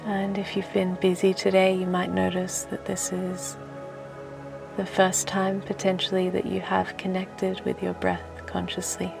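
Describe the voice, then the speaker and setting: A woman leading a guided relaxation meditation in a soft, gentle, soothing voice that turns breathy at times, with unhurried phrases. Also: fairly low pitch, completely flat delivery. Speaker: meditation teacher and host. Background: music.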